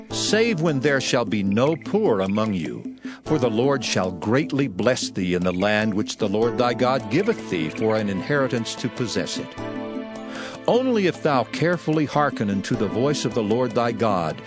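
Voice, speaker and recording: A man giving a solemn, declamatory dramatized reading of scripture in measured phrases. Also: mid-range voice. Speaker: Bible narrator. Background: background music.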